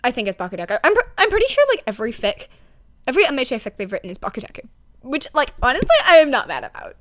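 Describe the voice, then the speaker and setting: A young adult woman chatting casually and excitedly to listeners as a podfic reader, rambling in slangy, emphatic speech with some swearing. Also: high voice, rapid-fire.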